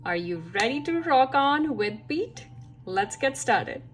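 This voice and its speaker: A woman reading a children's picture book aloud as a storyteller, with expressive, deliberate phrasing for young listeners. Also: high voice, soft background music.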